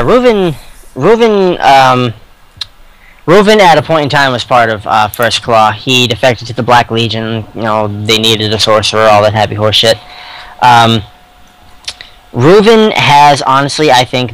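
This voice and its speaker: Male podcast co-host, middle register, blunt and sweary sci-fi fan, halting casual delivery. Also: mid-range voice, animated, fairly bright tone, clean tone, dry recording, poor audio.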